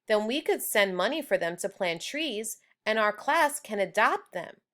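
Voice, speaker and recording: A woman's voice: mid-range voice, sing-song, a little slow, fairly bright tone. A woman reading the part of an earnest, determined third-grade schoolgirl in a children's storybook. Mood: earnest, eager.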